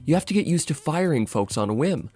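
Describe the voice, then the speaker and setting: Animated male video essayist over music. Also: a little high, quick pace. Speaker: video essayist and channel host. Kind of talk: scripted video essay narration.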